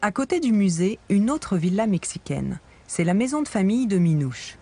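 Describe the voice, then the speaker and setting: A man giving calm, measured scripted narration for a documentary. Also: sits high, faint music behind.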